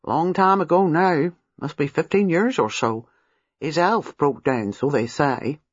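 Old country gardener type, gossipy and dialecty, narrator putting it on, husky, dull lo-fi sound. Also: mid-range voice, moderate pace, dry recording, voice pushed up, natural voice. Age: older. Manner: rural dialect speech. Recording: dull lo-fi dry recording.

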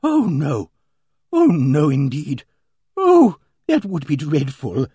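A man plays a genteel old maiden lady from a storybook, pitched high and swooping in her agitation. She is pious and easily shocked, and she speaks in hesitant, fluttering murmurs, recorded dry and close. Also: wildly swooping pitch, slow, warm tone, husky, no room sound, full character voice. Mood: agitated distress. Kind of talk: audiobook character dialogue.

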